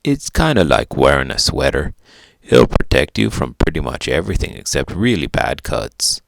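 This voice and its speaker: Casting: man to play an unhurried chimp sidekick for kids' storytime, very deep and gravelly, matter-of-fact explainer. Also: big pitch swings, a little hiss or hum, heavily acted. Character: laid-back talking chimpanzee with fur, explaining things.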